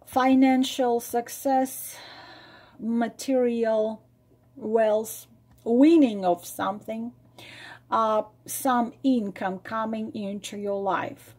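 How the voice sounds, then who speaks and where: Woman, tarot reading, mellow husky tone, repeats lines, emphatic. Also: fairly high pitch, fairly even intonation, extremely slow. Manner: drops to a soft breathy near-whisper at times. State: losing her voice. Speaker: tarot reader and psychic. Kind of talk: spontaneous spoken tarot reading to viewers.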